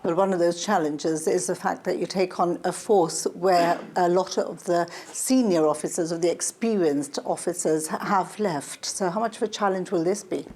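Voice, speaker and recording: Woman, news interviewer, lower pitch, dark soft-edged tone, broadcast questioning. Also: moderate pace, hardly any pauses.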